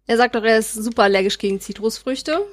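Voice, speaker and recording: A woman's voice: a little high, quick pace, no echo, studio quality. A woman co-hosting a casual podcast discussion, arguing her point with frequent restarts. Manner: argumentative, restarts often, says "weißt du". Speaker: podcast co-host.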